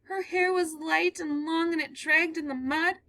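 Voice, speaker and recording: A woman's voice: sits high, moderate pace, sharp tone, no echo, voice pushed up. A woman voicing a terrified young woman who has fled a killer, breathless and pleading as she reads a play aloud. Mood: frightened.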